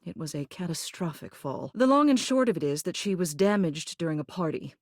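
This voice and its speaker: A woman's voice: fairly low pitch, expressive, a little fast, no echo, studio quality. A woman voicing a pompous older lady mayor, a living household object in a video game, who speaks in a grand, formal, theatrical manner. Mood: solemn, regretful. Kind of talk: scripted video game character lines.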